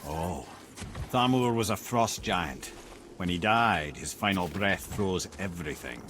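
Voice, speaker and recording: A man's voice: deep voice, lively intonation, a little slow, fairly bright tone, a little hiss or hum, full character voice. A man voicing a wry, talkative lore-keeper in a video game, telling legends theatrically. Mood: wry, knowing.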